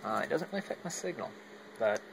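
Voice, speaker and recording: A man giving a casual, unscripted hobbyist walkthrough on a phone, halting as he thinks aloud. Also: medium pitch, expressive, vocal fry, noisy background. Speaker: hobbyist demonstrating a home radio antenna setup. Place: at home, moving between indoors and outside. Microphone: handheld phone while filming.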